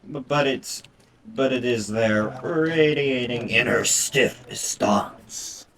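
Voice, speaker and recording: A man's voice: medium pitch, takes it slowly, vocal fry, a bit bright. A man doing casual let's-play commentary as a game streamer, reading visual novel text aloud with foul-mouthed, irreverent asides. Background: game music.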